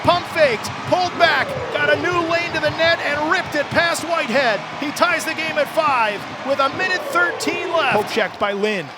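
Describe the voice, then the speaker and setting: A man calling live ice hockey play-by-play for radio in an excitable manner, building from steady calls to shouts. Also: very high-pitched, moderate pace, few pauses, loud music behind the voice.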